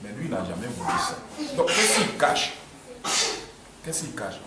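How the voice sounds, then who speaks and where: Man, lively animated telling, far from the mic in a roomy space with ambient noise. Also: medium pitch, measured pace. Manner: animated storytelling with repetitions. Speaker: church member recounting a dispute. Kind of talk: spontaneous spoken account. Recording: echoey room, distant mic, background noise. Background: ambient room noise.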